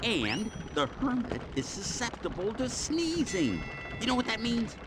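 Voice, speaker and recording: A man's voice: sits high, huge pitch swings, a little slow, noisy background, full character voice. A man voicing a peevish yet gung-ho superhero sidekick in a cartoon game, grumbling and melodramatic. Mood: urgent, heroic. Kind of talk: cartoon video game acting.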